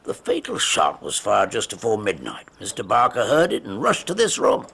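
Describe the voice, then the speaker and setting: An actor playing a proud, eager and slightly prickly country police detective in a radio drama, with emphatic delivery. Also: male voice, lowish voice, huge pitch swings, normal speed, creaky, fairly bright tone, breathy edge, exaggerated voice. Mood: eager, explaining.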